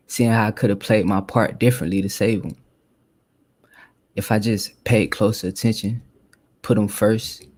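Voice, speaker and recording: A man's voice: on the low side, a little mellow. A man performing a reflective dramatic monologue as someone haunted by regret. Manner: reflective, remorseful. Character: regretful person haunted by failing to save someone.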